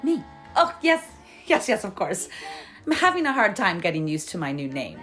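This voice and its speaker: A woman's voice: medium pitch, sing-song, normal speed, a bit bright, soft background music. An actress playing a proper, skeptical young woman caught up in an audio-play adventure. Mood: flustered. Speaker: actor.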